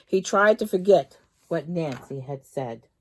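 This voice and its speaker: A woman storytime host reading a children's book aloud in an expressive, dramatic way that leans on key words. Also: deep voice, measured pace, rough recording.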